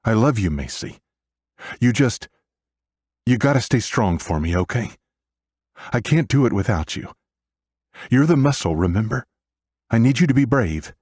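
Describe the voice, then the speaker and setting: Guy playing a frightened 13 year old big brother in an audiobook, urgent and pleading, husky, raised pitch. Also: lively intonation, moderate pace, long pauses, a little mellow, dry recording, studio quality. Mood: pleading, reassuring. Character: scared thirteen-year-old boy, fiercely protective big brother.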